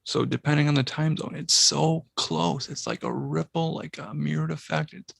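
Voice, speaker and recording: A man's voice: on the high side, steady tone, normal speed, creaky, fairly bright tone, no echo, clean studio sound. A man co-hosting a podcast, musing at length about synchronicities in casual talk. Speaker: podcast co-host.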